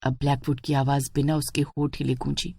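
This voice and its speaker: A woman's voice: rumbling low, level tone, a little fast, creaky, warm tone, no room sound, clean studio sound. A woman giving a dramatic scripted narration of a horror story.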